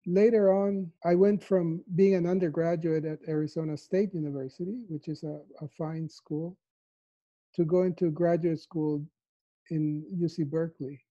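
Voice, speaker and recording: Man from El Salvador, fifties, soft thoughtful interviewee with a slightly high, very mellow voice on dull lo-fi call audio. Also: flat delivery, moderate pace. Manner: soft-spoken, thoughtful, with frequent fillers. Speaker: mathematician interviewee. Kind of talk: reflective interview answers. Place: home living room. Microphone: lapel mic on a video call.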